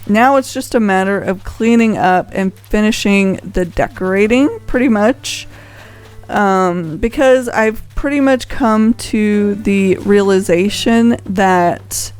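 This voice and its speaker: A woman's voice: mid-range voice, darkish tone. A woman giving casual, unscripted gameplay commentary as a video host, rambling conversationally and thinking aloud. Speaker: video host showing a game island.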